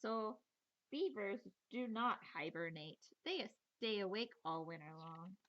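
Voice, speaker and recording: A woman teacher reading a children's nature book aloud in an expressive, playful storytelling manner, with chatty asides. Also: medium pitch, measured pace, dull audio, a little hiss or hum.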